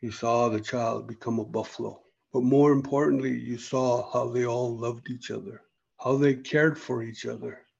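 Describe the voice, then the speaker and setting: A man's voice: medium pitch, fairly even intonation, normal speed, vocal fry, a little mellow, breathy edge, muffled recording. A man voicing an angry, commanding male buffalo chief in a storytelling session, with stern, declarative speech. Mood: solemn.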